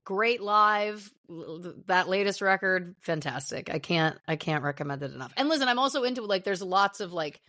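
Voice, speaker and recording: A woman's voice: mid-range voice, lively intonation, moderate pace, few pauses, bright, forceful tone, dry recording, clean studio sound. A middle-aged woman chatting as a podcast guest, telling self-deprecating stories in a loose, rambling way. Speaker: podcast guest, actor and musician. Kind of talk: casual interview chat.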